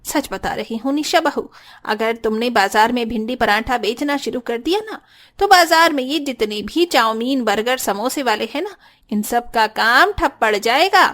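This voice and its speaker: A woman puts on a nosy neighbour auntie for a cartoon tale. She is effusive and forward, a food lover with a fairly high voice. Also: expressive, normal speed, fairly bright tone. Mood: gushing praise. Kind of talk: Hindi cartoon story dialogue.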